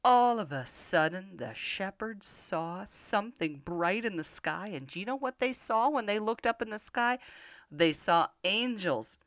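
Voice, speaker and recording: An older woman telling Bible stories to young children in an expressive manner, often putting simple questions to the listener. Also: medium pitch, unhurried. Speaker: children's podcast storyteller.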